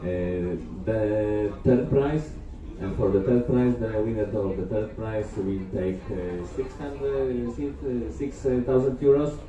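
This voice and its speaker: Low-voiced man presenting in an echoey hall. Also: mellow, highs cut off. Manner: halting non-native English with frequent hesitation. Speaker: presenter of a comics competition project. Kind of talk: live presentation with slides. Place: large festival hall, talk to an audience. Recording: very echoey hall, far mic, crowd murmur.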